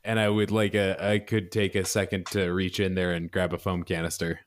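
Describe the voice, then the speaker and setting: A man's voice: sits low, level tone, a little fast. A man chatting casually as a player in a tabletop roleplaying game.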